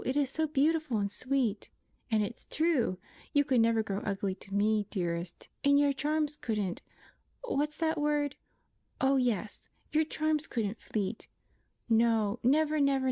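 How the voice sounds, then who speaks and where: A frail young invalid woman pleads in a raised, tearful voice, as a female audiobook reader plays her. Her tone is mellow and soft, close and dry on the mic, like a storybook read aloud. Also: on the high side, expressive, slow, no echo, pitched above the performer's own voice. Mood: eager.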